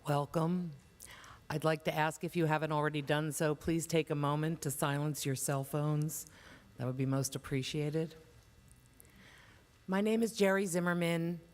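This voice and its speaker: A man with a smooth, resonant voice opens an evening memorial program with a measured, courteous welcome. His words ring out with a long reverb from a large hall. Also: fairly high pitch, steady tone. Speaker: organization director. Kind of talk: formal welcome speech.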